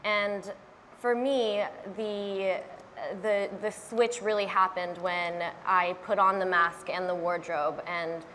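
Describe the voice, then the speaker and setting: A young woman answers from a panel stage, soft-spoken and unhurried, pausing on fillers. Her voice sits in the middle range. A faint hiss runs beneath it. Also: mid-range voice, flat delivery. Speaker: actress on a press panel. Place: stage of a large event hall.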